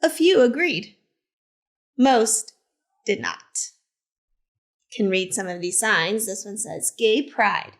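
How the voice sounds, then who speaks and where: A woman reading a picture book aloud to children as a teacher librarian, in simple, deliberate phrases with short asides. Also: mid-range voice, lively intonation. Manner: simple, deliberate phrasing addressed to young listeners.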